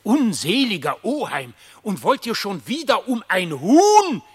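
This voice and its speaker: An actor playing an indignant, moralizing badger who scolds solemnly and declamatorily in a verse radio play. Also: male voice, sits high, wildly swooping pitch, a little slow, slightly rough, exaggerated voice. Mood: shocked reproach. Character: indignant, reproachful badger, the fox's moralizing nephew. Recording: long reverberant hall.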